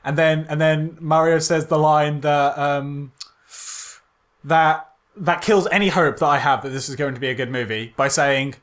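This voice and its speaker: A man co-hosting a podcast, casually critiquing a film in a halting way, repeating words as he goes. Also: fairly high pitch, steady tone, fairly bright tone. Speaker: podcast co-host.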